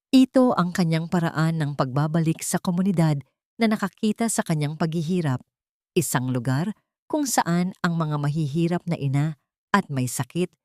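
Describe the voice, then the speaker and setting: A woman narrating a scripted dramatic story in a sentimental, deliberate way. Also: sits low, mellow, no room sound, studio quality.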